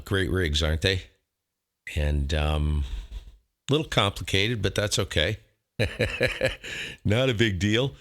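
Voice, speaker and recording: A man's voice: low-pitched, fairly bright tone. A man chatting casually and folksily over amateur radio, rambling a little and poking fun at himself. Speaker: amateur radio operator and host recording his contacts. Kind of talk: casual ham radio chat.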